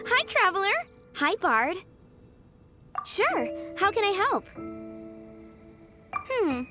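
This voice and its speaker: A woman's voice: very high-pitched, sing-song, very slow, music in the background, heavily acted. A woman voicing a cheerful, chatty young girl who sells flowers in a video game. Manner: bubbly, chatty, childlike.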